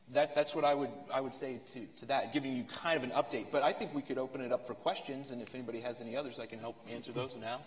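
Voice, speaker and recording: A man on a panel speaks informally and hesitantly, filling pauses as he finishes an update before opening it up to questions. He sounds far from the microphone, with a long hall reverb. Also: mid-range voice. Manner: informal, hesitant, many fillers. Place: lecture hall.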